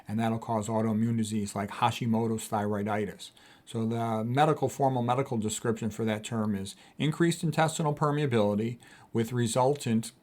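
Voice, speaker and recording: A man lectures on health in an informal, explanatory style. His voice sits at an average male pitch. He teaches as he talks, breaking down technical vocabulary and dropping in conversational asides. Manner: didactic, spells out and defines technical terms, conversational asides. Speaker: doctor presenting a health lecture. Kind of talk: informal explanatory medical lecture, reading quotes and commenting.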